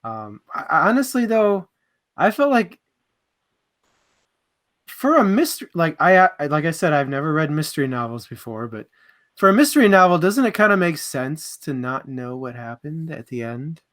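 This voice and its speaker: A man's voice: on the high side, very animated, normal speed, fairly bright tone. A man taking part in a casual livestream book chat, speaking informally and a bit hesitantly as he gives opinions. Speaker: book club panelist.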